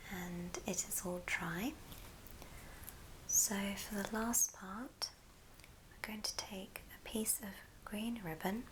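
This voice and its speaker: A woman whispering breathily in a gentle ASMR craft tutorial, explaining step by step. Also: medium pitch, background noise.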